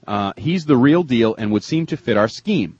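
A man hosting a sports talk show, discussing and reading out emails in a husky voice. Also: medium pitch, lively intonation, moderate pace, a little mellow, highs cut off. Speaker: sports radio show host.

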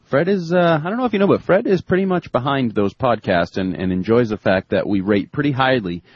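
A man's voice: mid-range voice, lively intonation, brisk, slightly rough, dry recording, highs cut off. A man co-hosting a sports podcast in casual chat, teasing his partner and reading a listener email. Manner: joking, teasing banter.

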